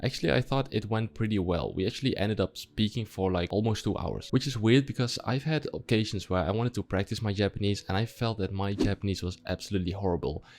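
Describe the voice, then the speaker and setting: Man, somewhat low voice, casual vlog talk, thinks aloud and asks himself questions. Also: fast, few pauses, darkish tone. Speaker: language-learning vlogger. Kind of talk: casual vlog monologue to camera.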